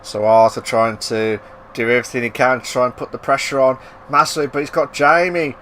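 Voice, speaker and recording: A man giving live racing commentary, excitable and bursting into loud exclamations at the action. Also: mid-range voice, a little fast, a little hiss or hum. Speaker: race commentator.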